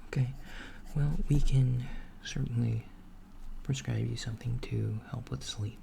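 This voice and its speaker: A man voicing a calm alien doctor in a soft-spoken, half-whispered ASMR roleplay, gently asking a human patient clinical questions. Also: mid-range voice, a little slow, gravelly, a little hiss or hum. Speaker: ASMR creator.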